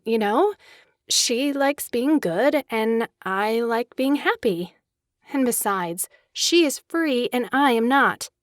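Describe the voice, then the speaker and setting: An actress playing an elegant young socialite in a dramatized audiobook, refined, expressive and coquettish, discontented yet charming. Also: female voice, on the high side, no echo, clean studio sound. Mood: rueful, confiding.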